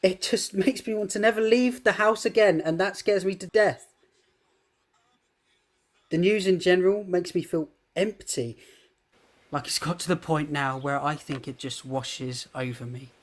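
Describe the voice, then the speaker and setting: Actor as higher-pitched, troubled man. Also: a little slow. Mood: numb, empty. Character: frightened high-risk man, numbed by grim news. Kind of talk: spoken diary monologue in a short film.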